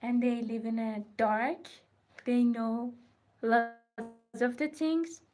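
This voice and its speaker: A woman from Iran with a Persian accent, talking slowly in a fairly high voice. She hosts a crafting livestream from her studio, using simple, halting English and often repeating herself. Her speech sounds plainly non-native. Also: steady tone, darkish tone, soft background music. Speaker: artist hosting a crafting livestream. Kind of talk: casual livestream chat.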